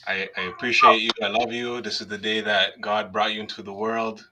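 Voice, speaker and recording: A man's voice: on the low side, flat delivery, brisk, background noise. A man in his forties from Canada, a guest in casual chat, joking, affectionate and loose. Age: 40s. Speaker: guest on a live stream.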